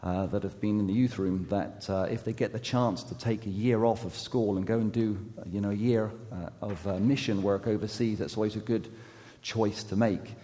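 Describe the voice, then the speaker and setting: A man preaching a sermon as an associate youth pastor, his voice going hoarse, speaking haltingly with many fillers and restarts and gentle self-deprecating humor. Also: lowish voice, fairly even intonation. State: losing his voice. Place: church sanctuary. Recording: long hall reverb.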